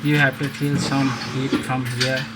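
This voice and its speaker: Slow halting man, Nepali native speaker. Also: medium pitch, level tone. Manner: halting non-native English, many pauses and restarts. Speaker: phone repair demonstrator. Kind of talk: hands-on repair tutorial. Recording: loud background noise.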